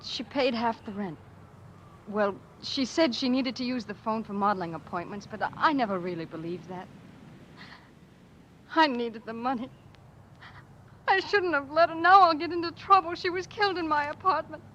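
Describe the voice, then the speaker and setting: A woman's voice: high-pitched, lively intonation, normal speed, fairly bright tone, slight background noise. Fragile young woman, muffled old radio. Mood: distraught. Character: fragile, anxious young working woman, murdered girl's roommate. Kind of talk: 1940s radio drama acting.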